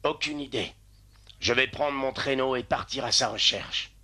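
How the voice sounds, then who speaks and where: A man voicing a hopeful, determined sled-dog driver in a dubbed animated film, earnest and emotive. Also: mid-range voice, moderate pace. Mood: sad, tender. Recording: light music behind.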